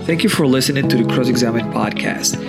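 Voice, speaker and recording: A man's voice: medium pitch, fast, vocal fry. A man reading a scripted podcast announcement. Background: loud guitar music.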